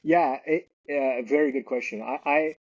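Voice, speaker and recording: A man's voice: mid-range voice, normal speed, a little mellow, no room sound, dull audio. A man speaking as a guest in an interview, hesitant and often restarting his sentences. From Canada. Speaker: guest, realtor and investor. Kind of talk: podcast interview conversation.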